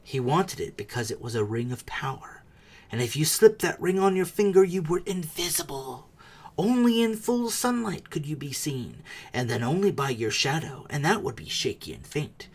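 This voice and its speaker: Husky, animated male storyteller. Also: on the high side, normal speed. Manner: theatrical, shifting between hushed and emphatic. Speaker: audiobook narrator. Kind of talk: dramatic storybook narration.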